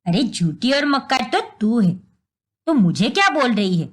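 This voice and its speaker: A woman voicing a stern, suspicious older mother-in-law in a story, bossy, sharp-tongued and scolding. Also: a little high, very animated, normal speed, slightly rough, voice pushed down. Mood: angry, accusing.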